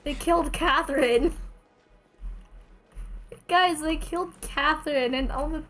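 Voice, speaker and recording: A woman with a high-pitched voice streams a game. She reacts in a casual, irreverent, joking way. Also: a little slow, fairly bright tone.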